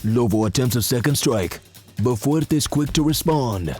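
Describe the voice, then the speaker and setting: An actor playing the dramatic narrator of a pulpy wrestling adventure in a scripted audio drama, formal in delivery. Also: male voice, medium pitch, expressive, a little slow, music in the background, lightly acted. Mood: dramatic, tense.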